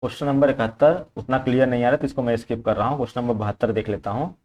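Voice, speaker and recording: Man, mid-pitched, coaching teacher, informal question-by-question explanation, repetitive phrasing, English terms mixed in. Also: steady tone, racing, dull audio. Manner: explanatory, repeats points, mixes in English terms. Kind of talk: informal exam-prep lesson, working through questions.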